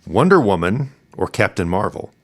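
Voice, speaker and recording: A man hosting a podcast in a casual, easygoing, somewhat halting way. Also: fairly low pitch, big pitch swings. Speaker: podcast host. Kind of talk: casual interview and sign-off.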